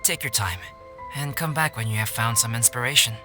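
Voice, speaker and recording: A man playing a calm, reserved young intellectual in a video game, speaking in a measured, articulate and formal way. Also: medium pitch, close to an everyday voice. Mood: composed, reflective. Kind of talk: video game cutscene acting. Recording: background music under the voice. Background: game music.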